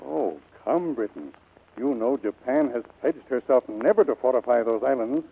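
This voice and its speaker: Authoritative, mellow-voiced Navy admiral. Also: male voice, medium pitch, animated, normal speed, husky, poor audio. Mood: brisk, skeptical. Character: authoritative, grave older male Navy admiral. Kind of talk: 1940s radio drama acting. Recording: vintage 1940s radio recording.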